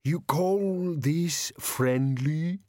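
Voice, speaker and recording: A man's voice: on the high side, drawn-out words, darkish tone, dry recording, studio quality, pitched above the performer's own voice. A man voicing a whining, pleading bogeyman monster for an audiobook. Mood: puzzled, whining. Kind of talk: audiobook character voice.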